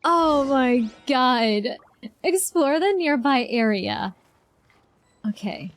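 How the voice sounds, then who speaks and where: High-voiced, chatty woman streamer. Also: animated, drawn-out words, a little hiss or hum. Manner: exclamatory, chatty, effusive. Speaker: game livestreamer reacting to a quest. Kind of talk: casual livestream chat and reactions.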